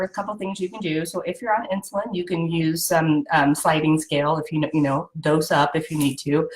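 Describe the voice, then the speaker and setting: A woman's voice: lowish voice, low-quality recording. A woman with a head cold and a nasal voice, casually hosting a live video and explaining health tips with lots of ums. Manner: chatty, explanatory, frequent ums and "right?".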